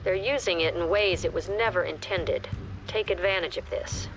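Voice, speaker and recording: A woman playing an authoritative squad commander, giving measured, clipped briefings in a video game. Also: lowish voice, lightly acted, poor audio. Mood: composed, authoritative. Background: prominent music.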